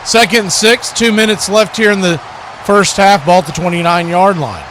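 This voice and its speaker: A man's voice: high-pitched, normal speed, a bit bright, a little hiss or hum. A man hosting a live stream with play-by-play sports commentary and chat to listeners.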